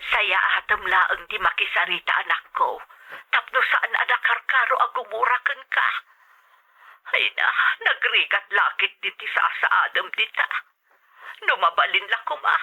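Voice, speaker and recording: A fretful older mother, piercingly bright. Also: mid-range voice, lively intonation, moderate pace, slightly rough, full character voice, low-quality recording. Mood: plaintive, worried. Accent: Filipino. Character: worried, loving older mother of an overseas worker. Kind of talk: radio drama acting.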